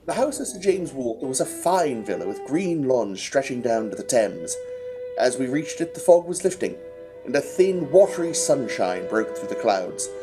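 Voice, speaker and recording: A man acting a gentleman narrator who recounts a detective case in a radio drama, measured and literary. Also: mid-range voice, very animated, close to an everyday voice. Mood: atmospheric, recounting. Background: music.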